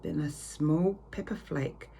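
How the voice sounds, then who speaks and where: A woman reading a picture story aloud to children with theatrical, drawn-out emphasis. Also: sits low, sing-song, warm tone. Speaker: primary school reader. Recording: clear background noise.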